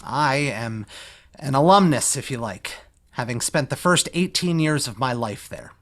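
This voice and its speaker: A man's voice: mid-range voice, animated, unhurried, a bit bright. A man playing a courteous, reassuring professional in an online table read of a stage musical, over a video call.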